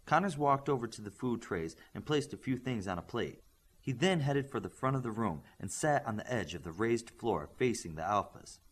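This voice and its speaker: A man's voice: moderate pace, husky. A man reading a novel aloud as an audiobook narrator, shifting his voice for the dialogue. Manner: lively, shifts pitch for dialogue.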